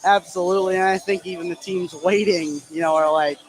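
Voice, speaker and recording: A man giving conversational color commentary on a live high school basketball radio broadcast. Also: fairly even intonation, brisk, crowd noise. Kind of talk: conversational live sports analysis.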